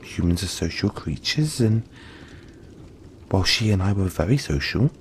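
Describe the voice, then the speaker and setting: A man voicing a gentle, cuddly young yeti in a soft-spoken, hesitant, soothing roleplay addressed to the listener. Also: low-pitched, lively intonation, normal speed, a little hiss or hum. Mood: fond, chatty.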